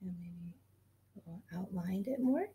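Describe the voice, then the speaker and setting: A woman in her thirties, a teacher reading a picture book aloud to children in an animated, gushing way, recorded on a webcam in a small room at home. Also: fairly low pitch, a little slow. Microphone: webcam at desk distance.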